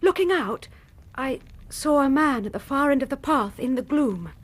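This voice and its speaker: A woman playing a loyal, distraught young Victorian gentlewoman in a radio drama, earnest and genteel, with an English accent. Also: sits high, lively intonation, moderate pace. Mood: earnest, guarded.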